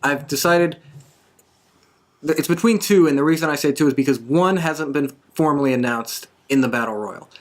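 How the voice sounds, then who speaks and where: A man's voice: fairly high pitch, moderate pace. A man hosting a casual, conversational discussion show about sports entertainment. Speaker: podcast host.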